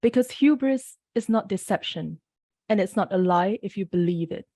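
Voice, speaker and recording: A woman from Singapore reading her poems aloud and briefly introducing each one, sounding a little rough from the early hour. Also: mid-range voice, expressive, mellow, no echo, clean studio sound. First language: Mandarin. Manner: measured, unhurried reading with brief spoken introductions. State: slightly off-sounding early-morning voice. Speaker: poet reading her work. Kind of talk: poetry reading.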